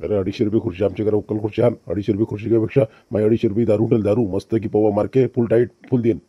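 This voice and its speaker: A man's voice: fairly low pitch, steady tone, racing, breathy edge, no echo, dull audio. A man voicing a drunken, bullying male village strongman who talks in slurred, menacing repeats. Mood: swaggering threat. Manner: swaggering, menacing, slurred repetition.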